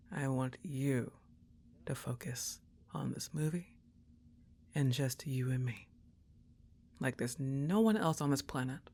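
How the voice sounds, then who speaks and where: A woman playing a laid-back, teasing young goth roommate in an affectionate ASMR roleplay, soft-spoken and often slipping into whispers. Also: a bass voice, slight background noise, heavily acted. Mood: soothing, intimate.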